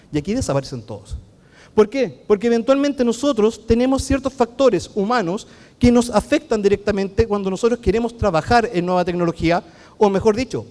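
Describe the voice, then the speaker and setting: A Chilean man with a Chilean Spanish accent giving a conference talk as a technology consultant, talking fast and checking in with the audience often. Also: sits high, darkish tone. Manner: fast-talking, engages the audience with frequent "¿ok?" tags. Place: large conference hall. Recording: long reverb of a large hall.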